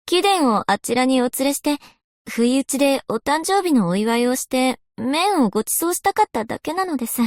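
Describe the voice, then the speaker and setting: High-pitched, genteel young woman scholar. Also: expressive, normal speed, dry recording, studio quality. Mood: flustered. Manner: very formal, courteous, refined phrasing. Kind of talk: scripted game character voice lines.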